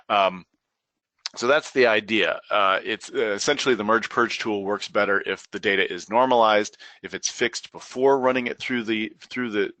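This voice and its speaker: A middle-aged man with a froggy, hoarse voice presenting a software training webinar, hesitant, with many fillers and restarts. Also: sits low, dry recording. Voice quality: frequent filler words and restarts. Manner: rambling, hesitant delivery.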